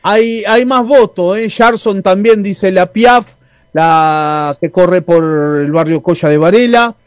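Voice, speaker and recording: A man's voice: high-pitched, takes it slowly, no echo, studio quality. A man with a Uruguayan accent hosting a radio show, chatting casually and reading listener messages with many fillers and restarts. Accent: Uruguayan Spanish. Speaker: radio host.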